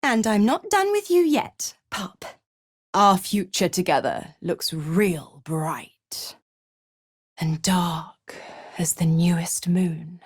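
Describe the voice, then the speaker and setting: Seductive female devil, mocking, theatrical, slow drawl, highly melodic, video game villain. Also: sing-song, darkish tone, dry recording, studio quality. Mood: sly, commanding. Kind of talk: video game character acting.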